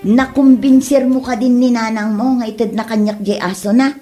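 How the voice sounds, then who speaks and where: A woman's voice: on the high side, fairly even intonation, moderate pace, dark tone, faint music behind, close to an everyday voice. A woman with an Ilocano accent playing a curious friend in an amateur comedy drama. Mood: curious, easygoing. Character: curious friend wanting to adopt a dog.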